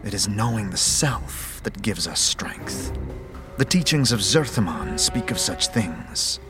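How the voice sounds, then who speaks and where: A man's voice: lowish voice, lively intonation, slow, music in the background. A man voicing a solemn male warrior of another race, speaking in a grave, formal way.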